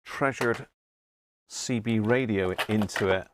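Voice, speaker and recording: A man's voice: lowish voice, slow, a little hiss or hum. A man hosting a casual live repair stream, chatting and thinking aloud as he works at an electronics bench. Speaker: host of an electronics repair livestream. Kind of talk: casual live workbench commentary. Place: indoors at an electronics workbench.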